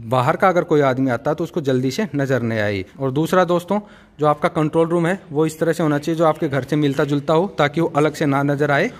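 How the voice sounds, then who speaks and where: Male tutorial host, slightly high voice, methodical and instructive hands-on explainer. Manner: instructive, methodical, often addresses viewers as friends. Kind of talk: practical how-to explanation to camera.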